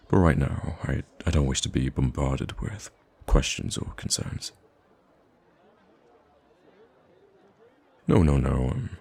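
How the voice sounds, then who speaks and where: Male actor as gentle wounded prince, ASMR roleplay. Bottomless bass, gravelly rasp, halting and wistful delivery. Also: exaggerated voice. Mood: hushed, urgent. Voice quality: very deep, gravelly, husky. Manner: hesitant, gentle, halting with sighs and stammers. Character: soft-spoken wounded young prince, wistful, gracious, secretly roaming a market.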